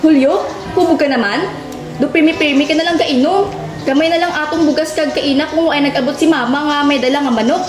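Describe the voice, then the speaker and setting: A woman's voice: sits high, a little fast, close to an everyday voice. A woman playing a young battered wife, weary, pleading and defensive, in an amateur radio drama with a Western Visayan accent. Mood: exasperated. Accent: Western Visayan Philippine. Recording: roomy echoing recording with music behind. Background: music.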